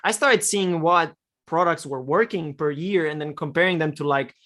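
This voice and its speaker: A young man from Romania, a podcast guest, telling his story in a relaxed way with many fillers. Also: a little high, moderate pace. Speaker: guest, e-commerce copywriter. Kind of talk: podcast interview answers.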